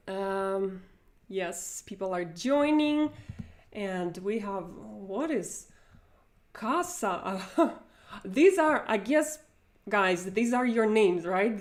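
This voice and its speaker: A woman's voice: mid-range voice, darkish tone. A woman in her forties with a Russian accent, teaching a live online language lesson from home in a friendly, chatty way with plenty of fillers. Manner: friendly, chatty, laughs often, many fillers. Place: home room with bookshelves. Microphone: wired earphone mic.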